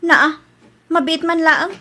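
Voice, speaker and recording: A woman's voice: very high-pitched, steady tone, slow pace, noisy background. A woman acting a suspicious, scolding wife in an Ilocano radio comedy drama. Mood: exasperated. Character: nagging, suspicious wife accusing her neighbour of theft.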